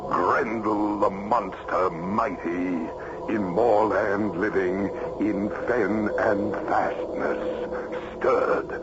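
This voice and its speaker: A man's voice: lowish voice, fairly even intonation. Muffled, noisy old radio solemn saga-teller, male. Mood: solemn, grave. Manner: grave, measured, declamatory. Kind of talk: old-time radio drama recitation.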